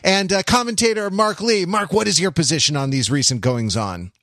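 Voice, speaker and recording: A man's voice: high voice, a little fast, a bit bright, no room sound, studio quality. A man hosting a podcast in casual comedic chat, with a jokey, mock-serious way of talking. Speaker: podcast host.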